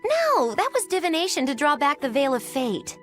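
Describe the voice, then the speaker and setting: A high-voiced young witch in a video game. She is flippant and theatrical, swooping through teasing, grandiose lines like a stage fortune teller. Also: very animated, moderate pace, faint music behind. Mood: teasing, breezy. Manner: teasing, grandiose old-fashioned wording.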